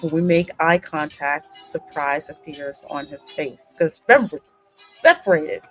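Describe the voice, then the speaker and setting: Female game host and narrator: deep register, measured pace, dramatic storytelling delivery with conversational asides. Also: animated, fairly bright tone, soft background music. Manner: deliberate, dramatic reading. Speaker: gaming channel host and narrator.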